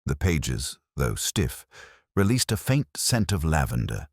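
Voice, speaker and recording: A melancholy police inspector narrates a detective radio drama in an extremely deep voice. His delivery is measured and literary, with deliberate pauses and expressive swings in pitch. The sound is dry and close, and his tone stays wry and brooding. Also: dry recording, heavily acted. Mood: brooding, reflective. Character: melancholy, wry middle-aged male English police inspector. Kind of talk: scripted first-person detective narration.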